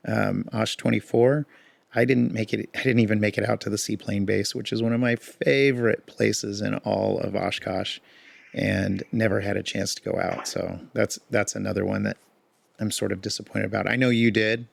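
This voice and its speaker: Man, podcast co-host, hoarse, deep-set gravelly voice, relaxed rambling chat, dry sound. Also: moderate pace. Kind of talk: casual chat.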